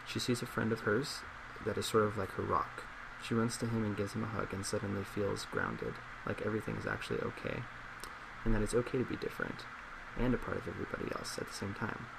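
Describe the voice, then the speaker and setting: A man in his twenties in a soft-spoken ASMR role play as a psychologist, measured and reflective. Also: fairly low pitch, creaky. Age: 20s. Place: small indoor office at a desk. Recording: steady loud laptop fan hiss.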